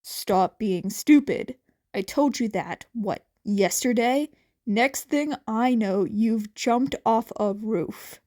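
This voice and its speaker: Teenage boy, blunt and stern, deadpan grumble, unhurried audiobook dialogue, acted by a woman. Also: on the high side. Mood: agitated, grumbling. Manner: deadpan, grumbling, plain-spoken.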